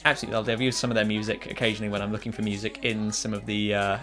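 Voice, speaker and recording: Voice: mid-range voice, little pitch movement, rapid-fire, fairly bright tone. A person chatting casually and a little hesitantly as a series co-creator answering fan questions. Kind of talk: casual Q&A chat. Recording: music playing behind the voice. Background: background music.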